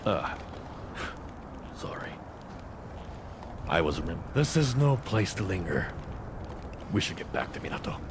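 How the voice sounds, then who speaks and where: A gruff, battle-worn samurai for a game cutscene. His very low voice moves slowly and swings widely in pitch, blunt and world-weary, over clear background noise. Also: sing-song. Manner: blunt, world-weary, sighing, sprinkles in Japanese words. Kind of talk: video game cutscene voice acting.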